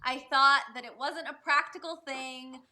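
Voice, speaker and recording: Bright-voiced Boston woman, a little distant. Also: high-pitched, fairly even intonation, moderate pace. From Massachusetts, USA. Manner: enthusiastic, eager, laughs easily. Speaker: author, guest. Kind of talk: interview answers. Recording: distant, quieter sound.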